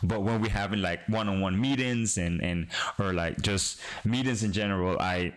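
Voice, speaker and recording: A young man from the Caribbean, whose first language is Creole, talks to his live-stream chat while he works on code. His voice sits a little low, and his speech wanders with frequent pauses and restarts. It is loose, easygoing streamer talk. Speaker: streaming developer.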